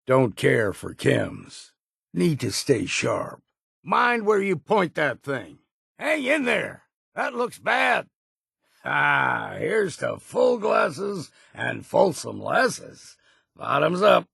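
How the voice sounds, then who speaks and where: Game companion: weathered old seaman, gruff and folksy, heavy gravel, unhurried delivery with plain rustic phrasing. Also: fairly high pitch, huge pitch swings, fairly bright tone, no room sound, clean studio sound, exaggerated voice. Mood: pained, alarmed. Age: older. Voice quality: gravelly, raspy. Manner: folksy, rustic turns of phrase, dropped grammar. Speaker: video game companion character. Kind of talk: video game voice lines.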